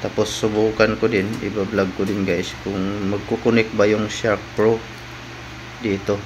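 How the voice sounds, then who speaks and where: A man giving a casual, halting unboxing narration as a vlogger, often tagging phrases with a questioning word. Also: on the low side, level tone, background noise.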